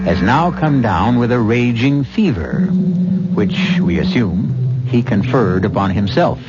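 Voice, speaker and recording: A man hosting and narrating a 1970s radio drama in scripted style, with wry, portentous, deliberate phrasing. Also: lowish voice, background noise. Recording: muffled vintage radio, music behind.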